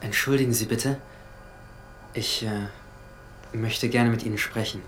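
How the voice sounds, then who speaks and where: A man's voice: lowish voice, fairly even intonation, a little slow, a bit bright, a little hiss or hum. A young man playing a guilt-ridden, withdrawn seventeen-year-old boy in an intimate radio drama, speaking in a subdued, hesitant way. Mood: hushed confession.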